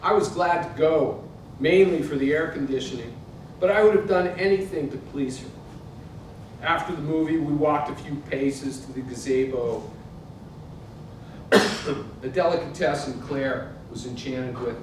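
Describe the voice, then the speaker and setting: A man in his sixties with an American accent, giving a literary reading to a live audience. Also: moderate pace. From Pittsburgh, United States. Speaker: author reading from his book. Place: indoor museum event space. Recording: very echoey hall, distant mic, noisy rumble.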